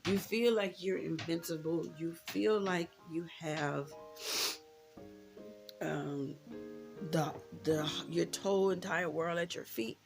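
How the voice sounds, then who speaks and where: An American woman in her thirties speaks candidly to her viewers in a slightly low voice with plenty of vocal fry. She is reflective and informal, repeating phrases to drive points home. Soft guitar music plays faintly behind her. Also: slow pace. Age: 30s. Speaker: vlogger. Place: indoors, room with a closet.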